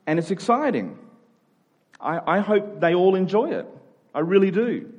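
A man's voice: high voice, big pitch swings, normal speed, darkish tone, slightly rough, muffled recording. A man preaching a sermon as a pastor, speaking informally and plainly and repeating phrases for emphasis. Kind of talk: sermon, conversational expository preaching.

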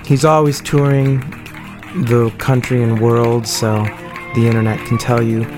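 A man's voice: medium pitch, flat delivery, a little slow, a crowd in the background. Man with a tired voice over music. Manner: rambling, self-correcting, chatty. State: losing his voice. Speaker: podcast host. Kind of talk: casual spoken intro. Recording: music playing underneath.

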